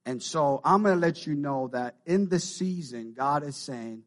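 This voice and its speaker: A man's voice: on the high side, unhurried. A man with a Jamaican Canadian accent preaching a sermon to his congregation, often repeating and building his phrases. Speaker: pastor.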